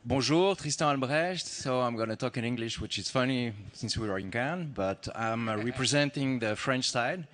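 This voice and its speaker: A film commissioner on a panel who introduces himself in a relaxed, joking way. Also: male voice, medium pitch, normal speed, hardly any pauses. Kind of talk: panel talk. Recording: long hall reverb.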